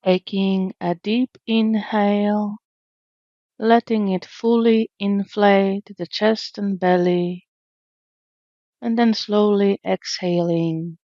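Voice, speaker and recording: A woman leading a guided meditation in a gentle, soothing, unhurried way with long pauses, in a slightly dull recording. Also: mid-range voice, steady tone, no echo. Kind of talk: guided meditation, slow calm narration.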